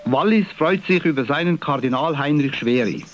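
A man from Valais with a Swiss accent, presenting church news on the radio in a formal, measured reading. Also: a little high, expressive, muffled recording, loud music behind the voice. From Valais, Switzerland. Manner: formal, measured, clear delivery.

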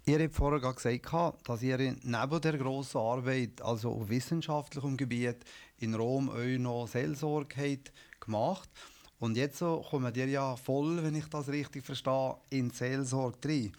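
Guy hosting local radio, polite formal questions, mid pitch. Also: fairly even intonation, measured pace, a little mellow. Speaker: radio interviewer.

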